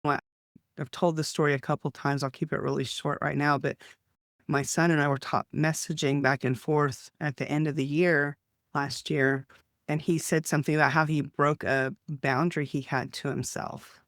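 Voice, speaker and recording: Man, Texan accent, chatty enthusiastic host, 50s, smooth and resonant. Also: a little high, little pitch movement, normal speed, fairly bright tone, no room sound, clean studio sound. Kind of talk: casual interview hosting.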